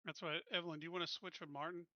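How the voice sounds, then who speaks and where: A man's voice: a little high, level tone, racing, pushed and bright, dry recording, dull audio. A man chatting casually as a player in a tabletop game.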